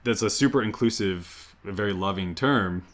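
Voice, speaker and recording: Casual man with a lowish voice. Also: normal speed. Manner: informal, tentative, loosely phrased. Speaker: interviewer asking audience questions. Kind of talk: casual chat, informal questions.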